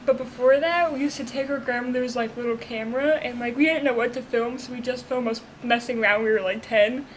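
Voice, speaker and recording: A woman's voice: on the high side, fairly even intonation, fast, few pauses, slight background noise, poor audio. A teenage girl guest in a casual chat, telling stories full of "like". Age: teens. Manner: storytelling with frequent "like".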